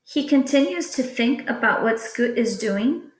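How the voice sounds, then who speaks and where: A woman with a fairly high voice reads test questions aloud as a teacher would. Her delivery is very slow, deliberate and instructional. The room around her adds a clear echo. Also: lively intonation. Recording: echoey room.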